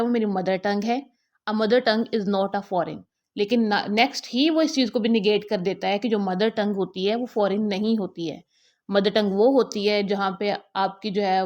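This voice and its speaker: A woman giving a literature lecture on her channel. She switches between Hindi and English, uses many fillers and slows down when she reads out quoted lines. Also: medium pitch. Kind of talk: lecture explaining a poem.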